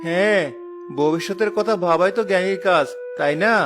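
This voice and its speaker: A man's voice: high voice, normal speed, music in the background, natural voice. A man voicing a stubborn, simple-hearted village husband in a cartoon, earnest and insistent. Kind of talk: cartoon voice acting.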